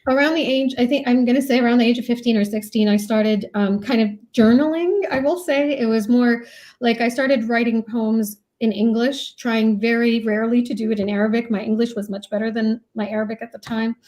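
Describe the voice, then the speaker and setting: Woman writer from iraq answering interview questions, soft mellow tone, kinda reflective. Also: a little high, fairly even intonation, brisk. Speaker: author and professor, interview guest. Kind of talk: thoughtful conversational interview answers.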